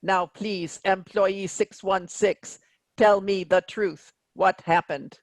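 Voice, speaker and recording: Stern spaceship AI captain voiced by an actor, formal and sardonic, creaky voice, close dry sound. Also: mid-range voice, no echo. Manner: measured, formal, deadpan. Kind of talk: virtual staged reading of a sci-fi comedy.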